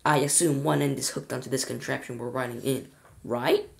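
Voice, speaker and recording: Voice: medium pitch, little pitch movement, fast talker, gravelly, close to an everyday voice. Reader acting a cranky, distrustful old grandmother. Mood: suspicious. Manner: querulous, complaining.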